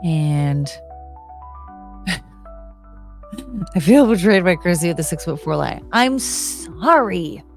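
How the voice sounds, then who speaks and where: An American woman hosting a live stream, chatting in a rambling, unfiltered way with casual swearing. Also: fairly high pitch. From United States.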